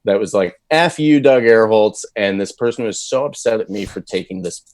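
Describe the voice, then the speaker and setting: Man, low voice, dry close recording, rambling interview chat. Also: animated, normal speed, a little mellow, no echo. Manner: rambling, thoughtful, many fillers and restarts. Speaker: voice actor guest.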